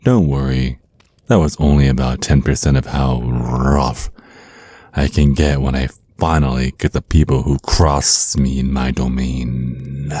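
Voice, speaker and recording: Male actor as menacing, teasing vampire naga overlord for ASMR roleplay: extremely deep, unhurried, flirtatiously smug. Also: mellow, no echo. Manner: smug, teasing, slow deliberate menace with drawn-out words.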